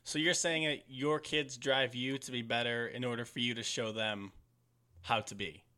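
A man hosting a podcast, asking his guest questions in an easygoing way. He keeps things moving with short acknowledging replies and speaks at an average pitch for a man. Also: moderate pace, a crowd in the background. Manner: relaxed, with frequent short backchannel replies. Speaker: podcast host. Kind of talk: casual interview questions.

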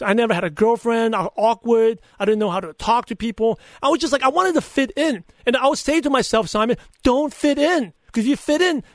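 Podcast guest: high-voiced male, Brooklyn-Chinese accent with a lisp, earnest anecdotal delivery, very dry recording. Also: no room sound. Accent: Brooklyn mixed with Chinese. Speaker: podcaster and coach, interview guest. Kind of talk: conversational interview answers with personal anecdotes.

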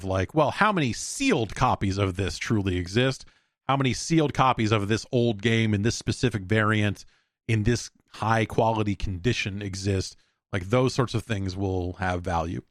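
Man, lowish voice, rambling casual podcast host, opinionated, sweary, dry recording. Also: dry recording. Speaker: podcast host answering listener mail. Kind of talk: casual solo podcast chat.

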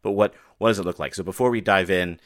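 A man's voice: sits low, fast, no echo, studio quality. A man in his thirties hosting a casual tech podcast, sounding nasal from a head cold and chatting freely with many restarts. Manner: chatty, talks a lot with restarts. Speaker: podcast host.

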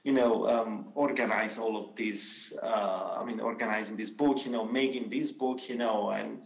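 A man's voice: fairly low pitch, steady tone, moderate pace, creaky. A man with an Italian accent giving hesitant remarks at an event, full of "you know" and restarts. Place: event room. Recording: roomy echo.

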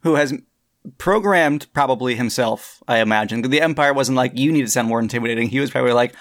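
A man's voice: mid-range voice, fast talker. A man co-hosting a casual podcast chat, joking and often restarting his sentences. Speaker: podcast co-host.

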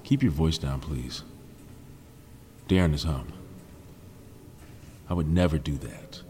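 Reclusive tech mogul, low voice, awkward, proud, prickly, audio drama, faint background ambience. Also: male voice, takes it slowly, warm tone, a little hiss or hum. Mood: guarded. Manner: awkward, conversational, explanatory. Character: socially awkward male billionaire inventor, proud and prickly. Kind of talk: naturalistic sci-fi audio drama acting.

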